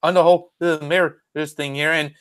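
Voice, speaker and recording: Halting, hesitant podcast host. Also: medium pitch, level tone, smooth. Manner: halting and hesitant, circling back on phrases. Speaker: podcast host interviewing a guest. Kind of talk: casual, unscripted interview chat.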